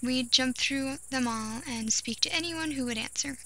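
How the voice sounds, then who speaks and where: High-voiced woman narrating a creepy story. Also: normal speed, a bit bright. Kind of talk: scripted first-person creepypasta narration. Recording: clear background noise of nature ambience.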